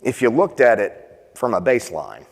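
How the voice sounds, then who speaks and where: A man's voice: mid-range voice, sing-song, normal speed, creaky, a little mellow. A man leading a conference session, talking conversationally and putting questions to the audience. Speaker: session presenter. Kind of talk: conference panel talk. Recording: long hall reverb.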